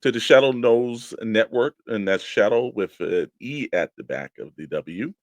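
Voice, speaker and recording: A man's voice: on the low side, animated, normal speed, no room sound. A man co-hosting a toy collecting show, giving promotional reads and casual plugs.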